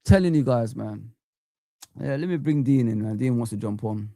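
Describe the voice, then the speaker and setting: A man's voice: medium pitch, animated, normal speed, gravelly, mellow, no echo. Man, young adult, stream host, heated, repetitive rant, dry close mic. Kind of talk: live fan chat stream.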